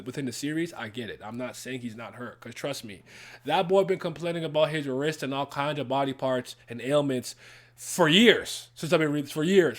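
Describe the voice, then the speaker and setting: A man whose first language is French, casually answering chat comments on a livestream with blunt, heated opinions and repeated phrases. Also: a little high. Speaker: YouTuber streaming to chat.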